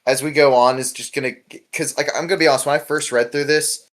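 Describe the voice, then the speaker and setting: A man's voice: medium pitch, steady tone, fast talker. A man hosting a casual podcast chat, talking in a rambling, informal way with lots of filler words. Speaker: podcast host. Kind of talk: casual podcast discussion of a webcomic.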